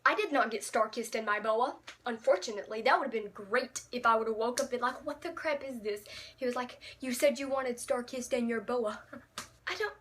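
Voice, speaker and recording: A teenage girl with a high, bright voice tells stories about herself straight to the camera. She is chatty and dramatic and makes fun of herself as she goes. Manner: chatty, dramatic, giggly, self-mocking. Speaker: vlogger. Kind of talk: casual storytime vlog.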